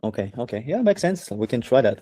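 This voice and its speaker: A man in a casual business chat, speaking English as an in halting, agreeable phrases. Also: mid-range voice, sing-song, mellow, no room sound, clean studio sound. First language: not English. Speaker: prospective client.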